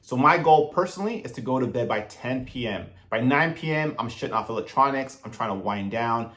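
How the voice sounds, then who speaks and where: A man's voice: mid-range voice, lively intonation, fairly quick, a bit bright. A man in his thirties giving motivational self-improvement advice straight to his viewers, earnest and direct in a coaching tone. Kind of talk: motivational advice monologue to camera.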